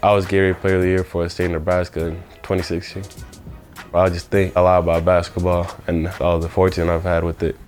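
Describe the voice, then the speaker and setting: Very deep, flat-voiced young man. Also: fast, soft background music. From South Sudan. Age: young adult. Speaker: basketball player. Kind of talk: documentary interview.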